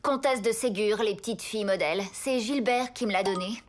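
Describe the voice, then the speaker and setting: A woman's voice: medium pitch, a little fast, lightly acted. A woman voicing a sarcastic, dramatic teenage big sister with snappy delivery, in cartoon style. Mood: prim, put-on. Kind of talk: French animated cartoon acting.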